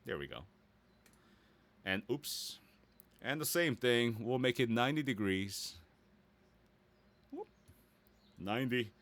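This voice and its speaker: A man giving a casual, easygoing software tutorial, thinking aloud and muttering small asides as he works. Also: mid-range voice, very animated, slow pace, long pauses. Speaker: voice actor giving a website-building tutorial.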